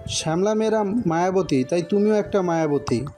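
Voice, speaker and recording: An actor playing a gentle, reassuring young newlywed husband in an audio love story, soothing and a little teasing. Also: male voice, high voice, animated, moderate pace, faint music behind. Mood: tender, matter-of-fact.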